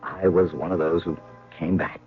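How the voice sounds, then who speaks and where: An actor playing an earnest, brooding young American man who looks back bitterly, in halting 1970s radio drama style. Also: deep voice, flat delivery, over music, lightly acted. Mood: bitter, rueful. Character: earnest young lover turned embittered veteran, recounting his past. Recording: muffled, noisy old radio broadcast.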